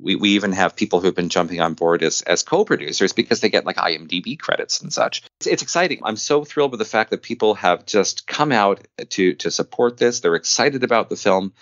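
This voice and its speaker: A man's voice: on the low side, a little fast, few pauses, a bit bright, no room sound, dull audio. A man speaking as an interview guest in an effusive, enthusiastic way, repeating phrases for emphasis. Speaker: podcast guest, filmmaker and actor.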